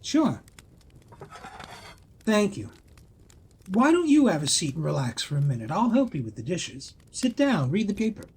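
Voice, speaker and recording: A man's voice: high voice, huge pitch swings, normal speed, long pauses, a little mellow, a little hiss or hum, exaggerated voice. An actor playing a courteous, charming man on the run, guarded and a little hesitant, in an audio play. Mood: nervous.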